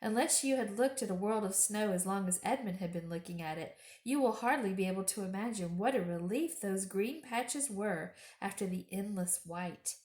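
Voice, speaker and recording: Storytime reader, female, mid-pitched, expressive and deliberate, in a roomy, echoing space. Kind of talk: expressive children's story read-aloud.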